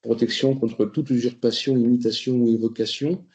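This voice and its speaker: A man's voice: mid-range voice, flat delivery, normal speed, darkish tone. A man giving a spoken presentation to a committee, hesitant, with many fillers and restarts. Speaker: presenter on food quality labels. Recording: roomy, muffled recording.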